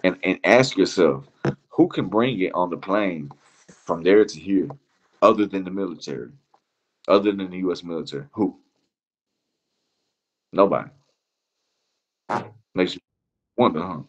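Deep-voiced Iranian-born man, halting and slangy. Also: lively intonation, lo-fi sound. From Iran. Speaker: interview guest. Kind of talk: casual chat.

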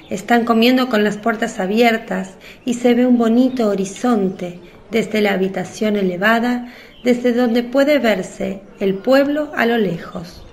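A woman reading scripted narration for a religious radio drama. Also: fairly high pitch.

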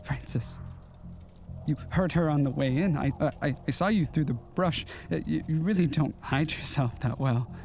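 An actor playing an eerie, courteous, melancholy stranger from the past in an audio drama, speaking haltingly with old-fashioned politeness. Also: male voice, on the high side, darkish tone, faint music behind, close to an everyday voice. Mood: yearning, eerie.

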